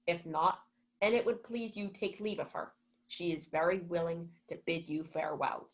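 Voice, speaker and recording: A woman's voice: fairly low pitch, moderate pace, natural voice, poor audio. A young woman playing a pompous, indignant male household steward in a Shakespeare radio play. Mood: indignant scolding. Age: young adult. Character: Malvolio, haughty scolding male household steward.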